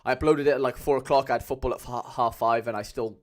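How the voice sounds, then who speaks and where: A young man with a Cardiff accent chatting casually as a livestream host, loose and rambling with lots of fillers, speaking into a mic on a boom arm at home. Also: steady tone, quick pace. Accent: Cardiff Welsh. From Wales. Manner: chatty, loose, many fillers, calls viewers lads.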